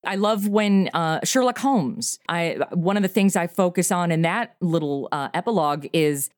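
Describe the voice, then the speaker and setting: A woman's voice: lowish voice, dry recording. Middle-aged woman, dry close sound. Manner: animated, laughs often, quick asides. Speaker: podcast creator and guest. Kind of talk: casual interview chat.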